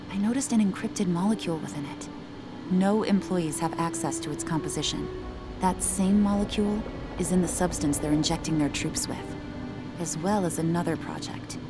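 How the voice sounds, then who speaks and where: Woman as a low-voiced, determined rebel infiltrator. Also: natural voice. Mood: grave. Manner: serious, purposeful. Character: earnest, determined female rebel infiltrator and analyst. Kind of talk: video game cutscene acting.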